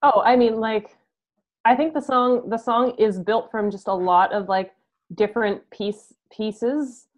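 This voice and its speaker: A young Canadian woman chatting casually as an interviewed musician, halting and peppering her talk with "like", on a video call. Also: fairly high pitch. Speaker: band musician being interviewed. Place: room at home. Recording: dull, lo-fi video call audio.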